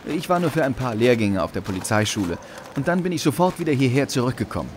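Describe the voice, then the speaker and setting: A man's voice: mid-range voice, sing-song, quick pace, a little hiss or hum, close to an everyday voice. An actor playing an eager, deferential village policeman in a German radio drama, hesitant and polite. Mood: flustered, respectful.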